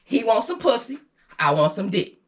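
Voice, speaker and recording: A woman's voice: on the high side, sing-song, normal speed. A woman in her fifties chatting casually and candidly, with a blunt, unapologetic and emphatic way of talking. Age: 50s.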